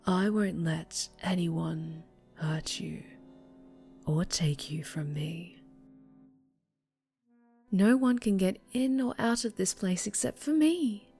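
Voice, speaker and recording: A woman playing an obsessive female superhero captor in an ASMR roleplay, speaking in breathy coos and whispers with sweet, possessive menace. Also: on the low side, slow, long pauses, soft background music, exaggerated voice. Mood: soothing, intimate, then quietly threatening. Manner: breathy cooing that slips into whispers and soft menace. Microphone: close microphone.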